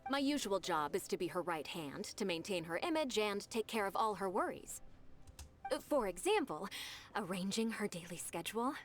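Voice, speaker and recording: A woman's voice: mid-range voice, sing-song, normal speed, natural voice. An actress voicing a formal, devoted female secretary in a video game, explaining things politely and precisely. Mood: proud, eager. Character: devoted, formal female secretary, earnest, eager admirer of her lady.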